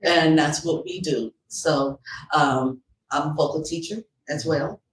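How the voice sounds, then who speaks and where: A woman's voice: deep voice, measured pace. A woman co-hosting a casual interview, chatting and interjecting with affirmations. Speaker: co-host, vocal teacher. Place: small studio room, live recorded show. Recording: echoey room, distant mic, faint noise.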